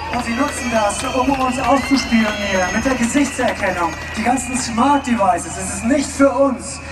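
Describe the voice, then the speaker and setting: A man interprets a speech phrase by phrase, loud and emphatic and sometimes groping for the right word, his voice pitched very high. He sounds distant and echoing through an outdoor public address system. Crowd noise and music carry underneath. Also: normal speed. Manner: loud and emphatic, at times searching for words. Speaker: German interpreter at a rally. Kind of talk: live consecutive interpreting. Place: outdoor mass rally. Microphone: public address system, recorded from a distance.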